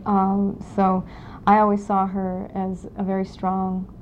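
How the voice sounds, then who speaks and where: A woman in her forties from Los Angeles, recalling her family history in an oral history interview, speaking in a reflective, halting way with many pauses. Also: mid-range voice, completely flat delivery. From Los Angeles, California. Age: 40s. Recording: dull lo-fi 1992 tape with faint hiss.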